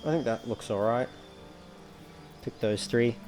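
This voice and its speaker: A man giving casual let's play commentary, thinking aloud in a measured, understated way and often reading game text out. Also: medium pitch, darkish tone, faint music behind. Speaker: gamer narrating a playthrough.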